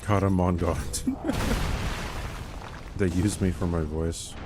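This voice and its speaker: A man streaming a game live, chatting casually with viewers in a joking, rambling way, with a calm radio-type voice. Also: deep voice, slow pace, over music. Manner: joking, rambling, many fillers.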